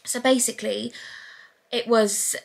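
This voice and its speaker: A woman's voice: on the high side, steady tone, a little slow, vocal fry. A young woman from England filming a casual vlog, gushing and exclaiming as she looks around a house being renovated. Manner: gushing, exclamatory, laughs often. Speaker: vlogger. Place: house under renovation, indoors.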